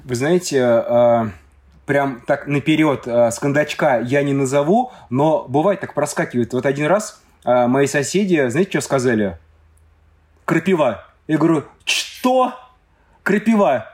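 A man from Moscow chats on a podcast in a middle-pitched voice, telling his stories with lots of ums and pauses. He speaks in a casual, conversational way. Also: expressive, darkish tone. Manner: storytelling with many hesitations. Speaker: podcast guest. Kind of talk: casual chat.

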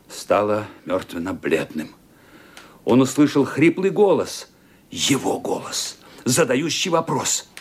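A man giving dramatic scripted narration for a radio play in a theatrical, expressive manner. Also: medium pitch, breathy edge.

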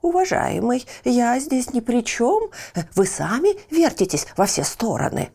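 A woman's voice: fairly high pitch, big pitch swings, measured pace, vocal fry, mellow, pitched above the performer's own voice, full character voice. A woman voicing a sly, boastful male barber and informer in a children's fairy tale, wheedling and blustering. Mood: oily, boastful. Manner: wheedling with customers, blustering when crossed.

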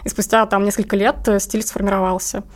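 A woman's voice: medium pitch, little pitch movement, fairly quick. A woman speaking casually as an interview guest, talking about her own work as an illustrator.

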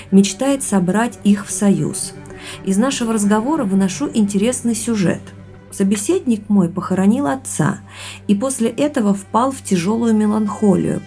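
An actress as a thoughtful young woman, creaky and mellow. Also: medium pitch, close to an everyday voice. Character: thoughtful young woman sharing family mystery with boyfriend. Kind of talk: naturalistic audio drama dialogue. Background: music underneath.

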